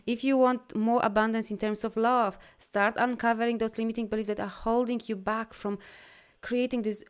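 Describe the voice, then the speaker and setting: A woman's voice: level tone, moderate pace, smooth. Polish woman, live-stream healer, earnest and affirming, voice lifts when emphatic, accented English. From Poland. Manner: heartfelt, affirming, rises in pitch and volume when emphatic. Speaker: energy healer and coach hosting a live video. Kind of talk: live stream talk answering viewer comments.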